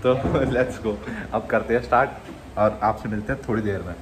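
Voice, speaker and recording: A man's voice: on the low side, steady tone, normal speed, background noise. A young man chatting casually to the camera as a vlogger while working out in a large indoor gym, joking between sets. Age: young adult. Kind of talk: casual vlog chat.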